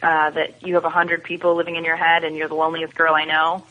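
A woman's voice: deep voice, monotone, fast. A woman giving her opinions in a casual, conversational TV discussion as a podcast guest. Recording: dull phone-like lo-fi connection.

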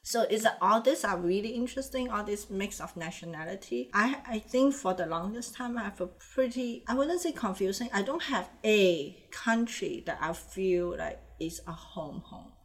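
Animated woman guest. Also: mid-range voice, moderate pace, few pauses. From Hong Kong. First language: Chinese. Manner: animated, laughs often, acts out quoted voices. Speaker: interview guest, animator and illustrator. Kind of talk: casual interview chat.

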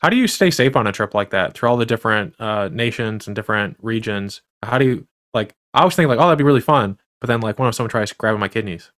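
A man's voice: fairly low pitch, lively intonation, fast, hardly any pauses, fairly bright tone. A man hosting a podcast, asking rambling questions full of restarts and fillers. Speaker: podcast host. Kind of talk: casual interview chat.

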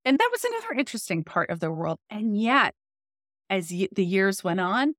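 A woman author being interviewed, explaining in a conversational, expressive, emphatic storytelling way. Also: mid-range voice, normal speed, dry recording, studio quality.

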